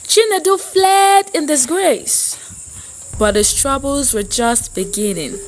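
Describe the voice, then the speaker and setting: A woman narrating a scripted, dramatic folktale as a storyteller, in a measured, solemn manner with deliberate phrasing. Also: high voice.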